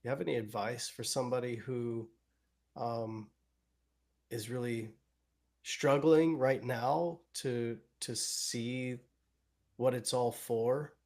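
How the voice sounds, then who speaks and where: Slow-talking man, rambling questions. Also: mid-range voice, steady tone, darkish tone. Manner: rambling, searching for words. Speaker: co-host, former instructor. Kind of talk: casual interview questions.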